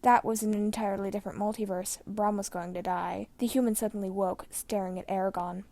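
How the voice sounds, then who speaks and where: A woman's voice: mid-range voice, fairly even intonation, brisk, dark tone, dry recording. A woman reading fan fiction aloud as the narrator, telling the story dramatically. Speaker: podfic narrator.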